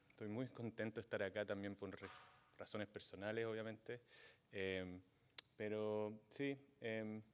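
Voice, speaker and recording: A man, not from Chile, giving a university guest lecture, halting often with fillers and restarts. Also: fairly low pitch, smooth. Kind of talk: academic lecture. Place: lecture hall. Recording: long reverb of a lecture hall.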